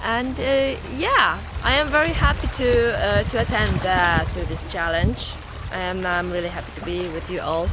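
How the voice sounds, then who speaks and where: A woman's voice: medium pitch, steady tone, normal speed, noisy background. A woman with a Polish accent giving an effusive, casual video greeting. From Poland. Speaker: dancer and yoga teacher.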